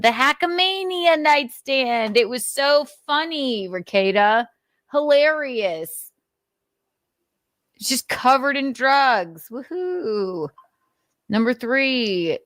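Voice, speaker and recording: A woman's voice: fairly low pitch, a bit bright, no room sound, clean studio sound. A woman host reading notes aloud and adding blunt, sarcastic commentary, swearing freely. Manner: sarcastic, mocking.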